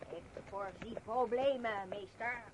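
An actress playing a talking wolf, a modest yet cheeky servant companion in a radio drama. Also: female voice, medium pitch, slow, lightly acted. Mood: uneasy. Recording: clear background noise.

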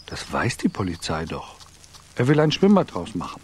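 A man's voice: low voice, huge pitch swings, normal speed, a little mellow. An actor playing a curt, defensive estate employee, loyal to his boss, in a radio crime drama. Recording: clearly noisy background.

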